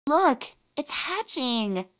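A fairly high female voice of a woman acting as a young cartoon girlfriend. She is excitable and gushing, with a sassy, maternal streak suited to an animated game cutscene. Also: drawn-out words, full character voice. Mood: doting.

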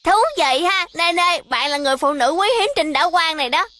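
A woman voicing a bossy, excitable little girl in a dubbed cartoon, speaking insistently. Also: high voice, a little fast. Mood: excited. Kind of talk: dubbed children's cartoon acting. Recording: music behind the voice.

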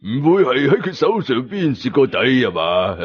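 A man voicing a defiant veteran fighter villain in his thirties from an audio story, rough and sneering, with a Hong Kong Cantonese accent. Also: mid-range voice, dull audio, exaggerated voice. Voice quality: rough, gravelly. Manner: slow, taunting, sneering.

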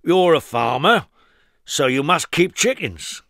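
A man voicing a cheerful, modest, enormously strong man in a storybook, exclaiming loudly. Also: expressive, slow, vocal fry, dry recording, clean studio sound, exaggerated voice. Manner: loud, high, exclaiming. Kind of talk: storybook character voice.

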